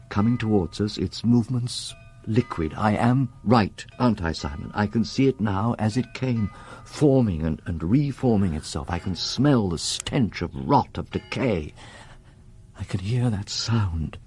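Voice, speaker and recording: An actor playing a grave, resolute man who summons dark powers in a radio horror drama, husky and intense, breaking into whispers. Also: on the low side, animated, normal speed. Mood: grim recounting.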